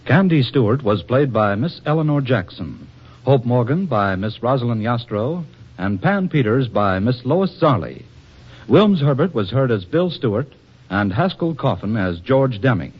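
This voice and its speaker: A man announcing in a formal, measured 1940s radio style. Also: mid-range voice, very animated, slightly rough. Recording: dull, hazy vintage radio recording.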